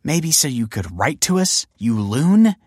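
A man's voice: medium pitch, very animated, unhurried, slightly rough, no echo, studio quality, pitched above the performer's own voice. A man voicing an exasperated, scornful adult daughter in an audiobook story, sarcastic and impatient.

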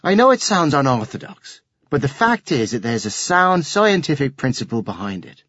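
A man's voice: mid-range voice, very animated, moderate pace, a bit bright, breathy edge, no room sound, dull audio, pitched below the performer's own voice. A man voicing a brainy, earnest schoolboy in an audiobook, lecturing pedantically and emphatically. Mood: earnest, enthusiastic. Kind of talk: audiobook character reading.

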